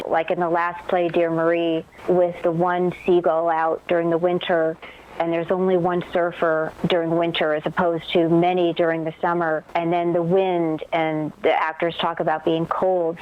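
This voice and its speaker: A woman's voice: fairly low pitch, muffled recording. A woman, a playwright guest talking in a thoughtful, conversational way. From Los Angeles, USA. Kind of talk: interview conversation.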